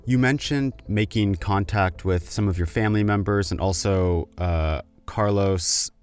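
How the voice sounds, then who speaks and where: A man's voice: fairly low pitch, measured pace, a little mellow, smooth, soft background music. A man interviewing a guest, rephrasing his questions hesitantly as he goes.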